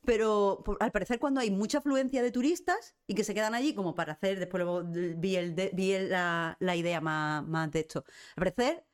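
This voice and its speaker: A woman's voice: mid-range voice, fairly quick. Sweary, exasperated woman streamer from Spain. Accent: Spain Spanish. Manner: exasperated, sweary, sarcastic, blunt. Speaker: host of a live cultural current-affairs show. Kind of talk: casual ranting live-stream chat, reading viewer comments.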